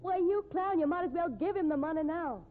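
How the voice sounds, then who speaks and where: A woman's voice: very high voice, a little fast, phone-quality sound. Fretful cowgirl wife, thin radio. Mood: scolding. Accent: American. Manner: fretful, scolding. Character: worried, sharp-tongued rodeo cowboy's wife, a roper. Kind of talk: 1950s radio drama acting. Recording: thin vintage radio recording with music.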